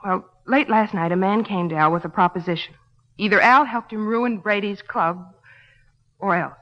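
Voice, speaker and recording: A woman's voice: mid-range voice, normal speed, lightly acted. Woman acting a frightened female suspect, indignant and pleading, old radio crime drama, dull recording, cavernous reverb. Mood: anxious confiding. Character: desperate, defensive young woman murder suspect.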